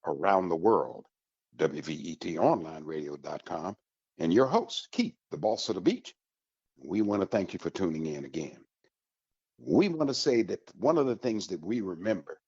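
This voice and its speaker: A man hosting a radio program, giving announcements in a deliberate, emphatic way with a husky voice. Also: low voice, darkish tone, no echo. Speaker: radio host. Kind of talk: promotional radio talk with announcements. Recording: dull lo-fi radio recording.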